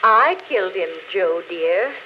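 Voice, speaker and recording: An actress playing a composed, intimate woman murderer confessing in a 1940s radio drama, speaking deliberately. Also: mid-range voice, expressive, natural voice. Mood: coolly apologetic. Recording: muffled, noisy vintage radio recording.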